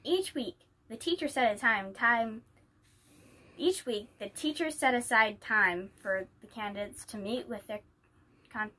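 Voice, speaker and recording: A woman's voice: on the high side, measured pace, sharp tone. A woman reading a children's picture book aloud as a storyteller. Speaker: story reader.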